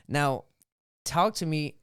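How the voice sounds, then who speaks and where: A man's voice: on the high side, animated, slow pace, smooth. A young man hosting a podcast, talking in a casual, slangy way and animatedly retelling what happened. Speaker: podcast host.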